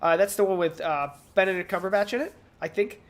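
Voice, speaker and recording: A podcast co-host talks in a casual, off-the-cuff way. The delivery is hesitant and rambling, circling back over words, at an ordinary middle pitch. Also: fairly even intonation, fast talker. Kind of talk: casual pop culture discussion.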